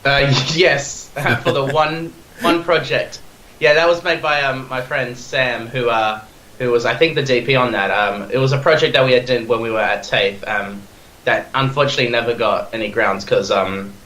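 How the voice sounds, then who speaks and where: A man's voice: mid-range voice, fairly even intonation, normal speed, pushed and bright, reverberant, a little hiss or hum, low-quality recording. A young man in his twenties with an Australian accent, talking as a podcast guest in a rambling, eager way full of fillers and restarts. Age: 20s. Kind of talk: casual chat.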